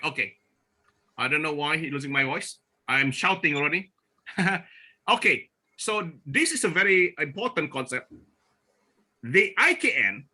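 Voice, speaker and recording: A man from Borneo presenting at a forum over a video call, speaking haltingly with many restarts and fillers. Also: a little high, sharp tone, breathy edge. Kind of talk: online presentation talk.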